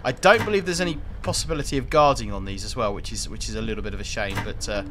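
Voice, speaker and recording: A man's voice: fairly low pitch, quick pace, noisy background. A middle-aged English man with a cold, sniffling, casually commenting as he plays a train game, often repeating words. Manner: chatty, relaxed, repeats words. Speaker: train sim streamer.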